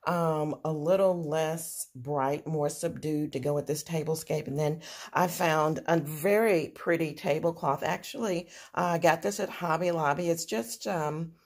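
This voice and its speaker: A woman casually showing off her table decorations, soft-spoken and unhurried, with many ums and uhs, filming on a phone indoors. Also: low voice. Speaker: home decor vlogger. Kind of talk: casual show-and-tell narration.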